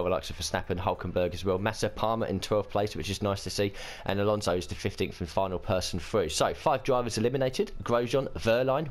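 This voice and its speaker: A man hosting a racing game let's-play, chatting casually and thinking aloud, his voice croaky and hoarse from a cold. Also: lowish voice. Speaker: gaming channel host.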